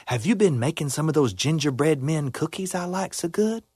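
A man voicing a sly, sweet-talking male fox in a low, hushed voice. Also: lively intonation, moderate pace, breathy edge, no room sound, clean studio sound.

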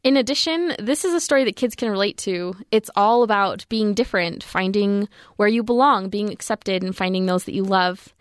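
A woman's voice: mid-range voice, normal speed, few pauses, smooth, dry recording. A young woman student presenting her book review in a small, dry college radio studio. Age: 20s.

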